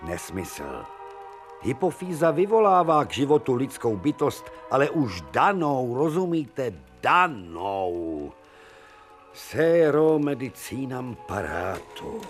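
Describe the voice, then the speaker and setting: An older man playing a dignified, learned professor who speaks deliberately in a radio drama. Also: medium pitch, big pitch swings, faint music behind, natural voice. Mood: insistent, lecturing.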